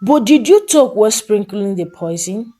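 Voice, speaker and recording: A woman's voice: medium pitch, animated, moderate pace, warm tone, lightly acted. A woman voicing a wicked, conspiring female friend in a folktale, questioning and scolding in an exclamatory manner. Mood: interrogating.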